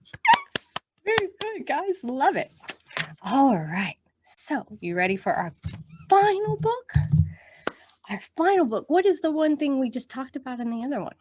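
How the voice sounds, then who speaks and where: Slow-paced, high-voiced woman reading to children. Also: sing-song, a little mellow, slight background noise. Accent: American. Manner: encouraging, addresses children directly with questions and praise. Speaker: children's librarian hosting storytime. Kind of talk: read-aloud storytime and action songs for young children.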